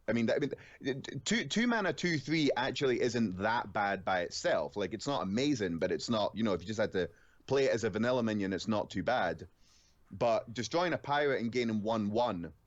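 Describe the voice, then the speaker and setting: Stammering low-voiced man on a dull call line. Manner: stammering, repeats words, loud voice. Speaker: podcast guest. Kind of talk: casual gaming chat.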